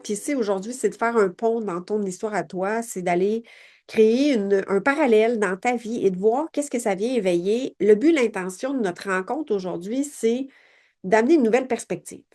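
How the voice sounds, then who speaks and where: Woman, late forties, mid-pitched, earnest reflective podcast host, soft music underneath. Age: late 40s. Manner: earnest, searching, heavy on fillers and rhetorical questions. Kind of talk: reflective personal monologue.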